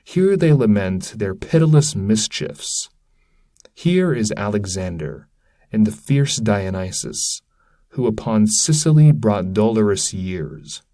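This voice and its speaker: A man's voice: fairly low pitch, expressive, slow pace, warm tone, no room sound, clean studio sound. A man voicing a great centaur escort in a poetry reading, stern and declamatory as a guide. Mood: grave.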